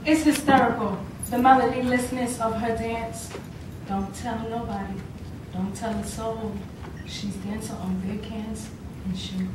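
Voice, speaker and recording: A woman acts a distressed woman reciting poetry on stage. The voice is fairly high and sounds distant, with heavy echo and clear noise. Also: moderate pace, close to an everyday voice. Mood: distraught. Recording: echoey hall, far off, noisy.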